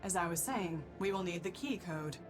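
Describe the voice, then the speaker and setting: A woman voicing a stern, vengeful female robot of law in a video game, speaking in a solemn, formal, deliberate way. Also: on the low side, expressive, lightly acted. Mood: solemn, instructive. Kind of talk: dramatic video game voice acting. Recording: loud music under the voice.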